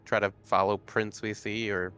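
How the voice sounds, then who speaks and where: A man's voice: fairly low pitch, little pitch movement, moderate pace, pushed and bright, smooth. A man chatting casually as a player in a tabletop roleplaying game. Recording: background music under the voice.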